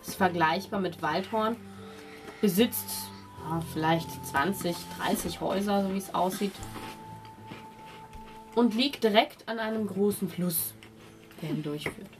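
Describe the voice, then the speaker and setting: A woman runs a tabletop fantasy game as its game master, in a mid-pitched voice. She improvises her descriptions, feeling for words, while music plays clearly behind her. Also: unhurried, pauses often.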